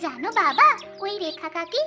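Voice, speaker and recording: A woman's voice: extremely high pitch, big pitch swings, normal speed, music in the background. A woman voicing a caring, eager little village girl in a children's cartoon, earnest and coaxing. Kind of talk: Bengali children's cartoon voice acting.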